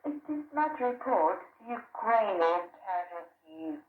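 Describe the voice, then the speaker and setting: A woman's voice: mid-range voice, animated, slow, narrow, tinny audio. A woman reading scripted news formally, heard through a shortwave radio. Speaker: radio newsreader. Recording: noisy, echoey shortwave radio playback.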